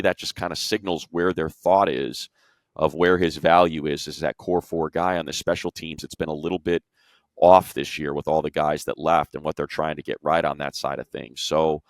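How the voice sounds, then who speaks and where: A man's voice: rumbling low, fairly even intonation, moderate pace, dry recording. An American man giving casual sports analysis in an emphatic way, repeating himself for stress. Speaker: sports show analyst, play-by-play announcer.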